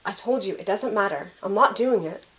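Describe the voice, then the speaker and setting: A woman's voice: mid-range voice, expressive, fairly quick, fairly bright tone, close to an everyday voice, rough recording. A young woman playing a guarded, withdrawn student in an amateur audio drama. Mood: evasive.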